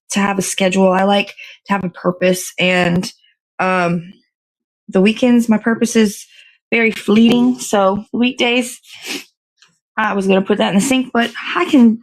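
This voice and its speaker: A woman's voice: mid-range voice, a little mellow, low-quality recording. A woman hosting a morning show in a casual, rambling, chatty way, thinking aloud as she works with her hands. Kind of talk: casual live chat while assembling a dessert.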